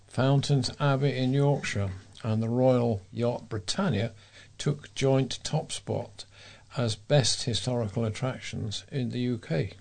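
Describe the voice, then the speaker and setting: A man reading scripted local news as a volunteer newsreader, in a measured way. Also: mid-range voice, gravelly, a little mellow.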